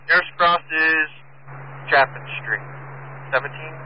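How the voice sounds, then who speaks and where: A man's voice: fairly high pitch, steady tone, unhurried. A man making fire dispatch announcements over two-way radio. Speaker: fire mutual aid dispatcher. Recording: noisy, muffled radio dispatch with hiss.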